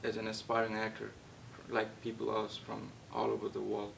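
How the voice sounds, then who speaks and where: A young man from Nepal, a guest actor, answering interview questions in a halting way with many fillers and restarts. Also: completely flat delivery, creaky, a bit bright. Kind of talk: casual interview. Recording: distant mic with faint rumble.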